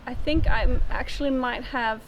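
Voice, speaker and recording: A woman from Denmark telling her personal story in an interview, in a halting, thinking-aloud way. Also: fairly high pitch, fairly even intonation, highs cut off, slight background noise.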